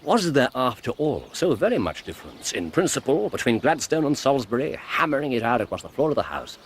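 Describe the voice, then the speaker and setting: An actor playing a keen, self-assured Victorian detective with an English accent and a precise, theatrical manner in a radio drama. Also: male voice, mid-range voice, very animated, brisk, a little hiss or hum, close to an everyday voice. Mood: teasing, assured. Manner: precise, theatrical, teasing deductions.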